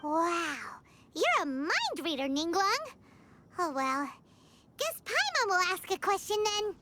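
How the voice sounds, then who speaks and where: A woman voicing a tiny, excitable fairy-like girl companion in a video game, with a chirpy, chatty manner. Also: extremely high pitch, slow, noisy background, full character voice. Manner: chirpy, talks about herself in third person. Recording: game audio with loud music.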